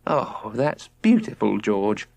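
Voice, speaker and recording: A man voicing a dreamy, romantic mother who talks in a soft, sweet, gushing way. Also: on the low side, sing-song, takes it slowly, vocal fry, no echo, heavily acted.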